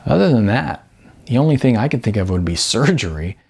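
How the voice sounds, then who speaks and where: A man voicing a blunt, brisk female modeling agent in an audiobook, emphatic and patronizing, with chatty endearments. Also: mid-range voice, sing-song, creaky, a little mellow, breathy edge, exaggerated voice. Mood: wry, candid. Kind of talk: audiobook character dialogue.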